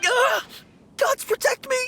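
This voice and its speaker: A woman's voice: very high voice, takes it slowly, vocal fry, bright, forceful tone, full character voice. A woman voicing a timid young male novice undertaker in a video game, jittery and formally polite. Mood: panicked. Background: game music.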